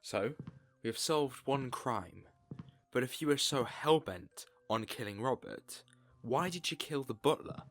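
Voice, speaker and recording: A man's voice: medium pitch, unhurried. A man playing an eccentric time-traveling detective. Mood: incisive. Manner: theatrical, excitable, mock-exasperated. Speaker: the Doctor. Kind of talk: fan audio drama acting. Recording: music score behind.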